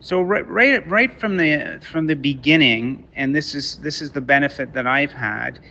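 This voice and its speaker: A man whose first language is French, from Canada, giving a talk to parents as a teacher, halting with many restarts. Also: a little high, creaky, sharp tone, muffled recording. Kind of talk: online lecture to parents. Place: Toronto.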